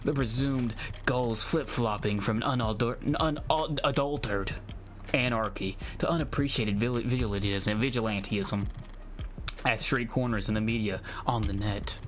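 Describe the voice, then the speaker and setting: A man voicing a sly, cynical detective narrator from a visual novel game, dry, measured and articulate. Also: medium pitch, faint music behind, pitched below the performer's own voice, close to an everyday voice.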